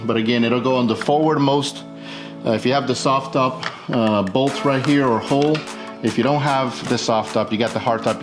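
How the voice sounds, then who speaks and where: A man hosting a casual how-to video, thinking aloud informally as he works through an installation. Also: medium pitch. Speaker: host of a car modification tutorial. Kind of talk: casual hands-on how-to narration while working.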